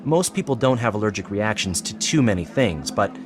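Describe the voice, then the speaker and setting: An actor playing an earnest, persuasive psychiatrist in an audio drama, explaining in a measured, solemn way. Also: male voice, on the low side, very animated. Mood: measured, explanatory. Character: earnest, persuasive male psychiatrist pressing his case. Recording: clearly noisy background ambience.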